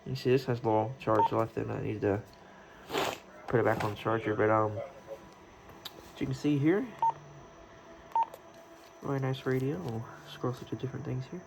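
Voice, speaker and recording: A man's voice: mid-range voice, fairly even intonation, moderate pace, long pauses. A man casually showing off his hobby gear in his room, rambling and hesitating as he thinks aloud. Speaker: vlogger, radio hobbyist. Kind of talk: casual unscripted show-and-tell. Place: indoors in his own room. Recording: clearly noisy home recording.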